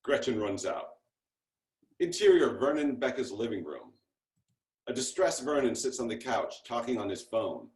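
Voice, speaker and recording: A man's voice: mid-range voice, sing-song, moderate pace, a little mellow. A man reading scripted stage directions as narrator at a table read over a video call. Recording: echoey room on a video call.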